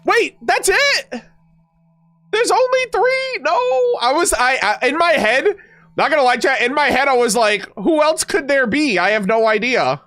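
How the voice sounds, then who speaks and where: A man's voice: very high-pitched, lively intonation, a little slow, bright, forceful tone. A man streaming a game, chatting casually with viewers, slightly nasal and stuffed up, with many fillers and restarts.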